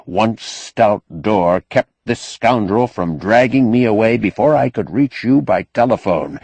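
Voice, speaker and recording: Guy doing an indignant wordy doctor for an audiobook, pompous speechifying, slow and forceful, dry sound. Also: on the low side, no room sound, exaggerated voice. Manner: pompous, ornate, forceful oratory. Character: wily, indignant, eloquent male doctor, master of his house. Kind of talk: audiobook character acting.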